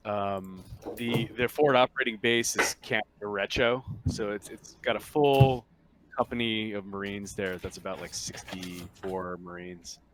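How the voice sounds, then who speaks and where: A man with a fairly low voice runs a tabletop roleplaying session as game master. He explains in an informal, halting way, pausing often between phrases. There is faint background noise on the recording. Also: a bit bright.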